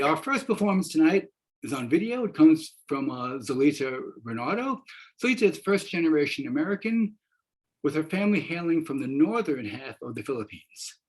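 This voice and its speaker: An older man with a husky voice hosts an online event. He speaks in a halting way, as if giving a semi-scripted introduction, into a computer mic on a video call. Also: fairly high pitch, low-quality recording. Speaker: theater professor and event host. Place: wood-panelled room at home. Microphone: video call, computer microphone.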